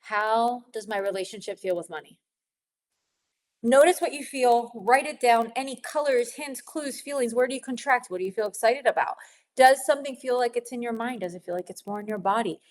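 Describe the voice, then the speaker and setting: Sniffly woman coaching gently. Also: on the high side, brisk. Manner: warm, encouraging, laughs often. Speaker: spiritual money coach. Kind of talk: guided teaching talk to a women's group.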